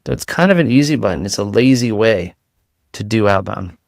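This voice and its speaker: A man with a light voice, a guest in a casual interview, talking in rapid-fire analogies with frequent restarts. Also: fairly low pitch, expressive, vocal fry, no room sound, clean studio sound.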